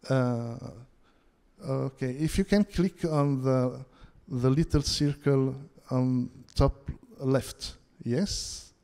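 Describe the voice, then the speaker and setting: Italian-accented male panelist, hesitant delivery, slow pace, long hall reverb. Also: medium pitch, dark tone, smooth. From Italy. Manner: hesitant, many fillers and restarts. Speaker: conference panel speaker. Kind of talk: halting conference presentation. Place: theatre hall.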